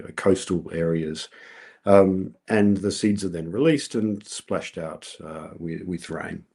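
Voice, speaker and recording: A man with an Australian accent presents research at an online colloquium. His voice sits very low, dark and husky. He explains things at an easy pace, with plenty of ums. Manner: relaxed, explanatory, with frequent ums. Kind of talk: academic conference talk. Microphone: video call.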